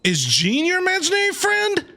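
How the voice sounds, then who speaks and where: Animated man chatting over a game. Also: very high voice, moderate pace, vocal fry. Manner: animated, chatty, reacting aloud. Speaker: game streamer. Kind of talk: casual let's-play commentary.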